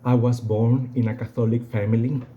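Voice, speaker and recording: A young man with a Venezuelan accent and native Spanish, giving an unscripted personal testimony in a halting way, often repeating phrases. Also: medium pitch, little pitch movement, narrow, tinny audio, a little hiss or hum. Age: young adult.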